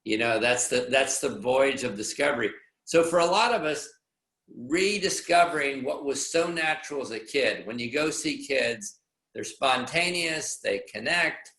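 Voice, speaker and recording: A man's voice: medium pitch, pushed and bright. A man hosting a video call, chatty and reflective as he wraps up. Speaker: host. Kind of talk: casual video call chat. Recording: echoey room on a video call.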